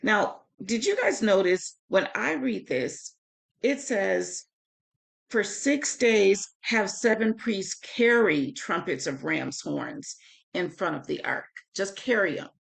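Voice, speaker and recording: Hoarse, husky woman leading Bible study. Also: mid-range voice, expressive, takes it slowly. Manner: informal, conversational, greets listeners by name. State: hoarse, sore voice. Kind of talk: live Bible teaching, conversational with scripture reading.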